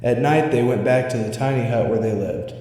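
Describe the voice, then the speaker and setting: A man's voice: medium pitch, a little fast, warm tone. A man narrating a scripted spooky story as a storyteller. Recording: echoey reverberant hall sound.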